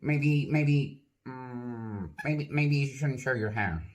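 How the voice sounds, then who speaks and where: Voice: medium pitch, slow, close to an everyday voice. A puppeteer voicing a nosy, clumsy sock puppet host who asks awkward questions full of nervous stammers. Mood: uneasy. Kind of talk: comedy puppet sketch.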